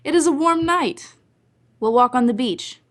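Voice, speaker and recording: A woman's voice: sits high, expressive, a little slow. A woman playing a menacing older Hawaiian mother in an old-time radio melodrama, stern and terse in broken English. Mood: coolly commanding.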